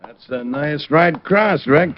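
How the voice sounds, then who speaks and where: An actor playing a gruff, weary police lieutenant, dry and bossy, in an old-time radio detective drama. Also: male voice, on the high side, lively intonation, slow pace, no room sound. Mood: wry, gruff. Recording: dull vintage radio recording.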